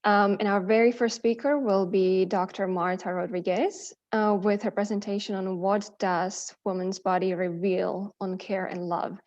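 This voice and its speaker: A woman's voice: mid-range voice, fairly even intonation, moderate pace, hardly any pauses, a little mellow. Woman moderating, dull lo-fi sound. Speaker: session moderator. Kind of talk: formal speaker introduction. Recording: dull, lo-fi audio.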